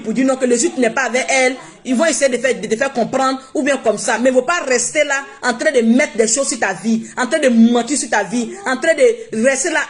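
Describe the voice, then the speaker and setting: A woman whose first language is not French, speaking emphatically and repeating herself in a casual live-stream monologue on her phone. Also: sits high. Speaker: social media live streamer.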